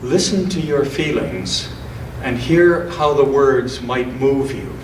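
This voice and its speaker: A man speaks as a reader in church, moving between reading aloud and informal commentary. His delivery is unhurried and reflective, with careful, measured phrasing. The sound is roomy and somewhat distant, with a faint layer of noise. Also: mid-range voice. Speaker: church scripture and poetry reader.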